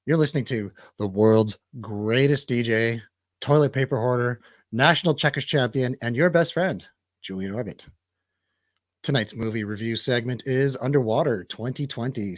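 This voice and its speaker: Guy hosting a casual movie review show, mid-pitched voice, really dry close sound. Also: no room sound. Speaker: radio show host.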